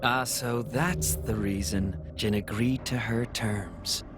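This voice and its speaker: A man's voice: fairly low pitch, takes it slowly, gravelly. A man playing a refined, inquisitive villain's accomplice in a video game, articulate and theatrical. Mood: impressed, curious. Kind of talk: dramatic video game voice acting. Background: background music.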